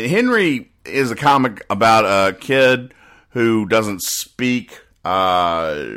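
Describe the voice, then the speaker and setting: A man hosting a casual solo podcast, explaining things in a rambling, halting, deadpan way. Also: deep voice. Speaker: podcast host. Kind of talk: casual solo commentary, explaining comic strips.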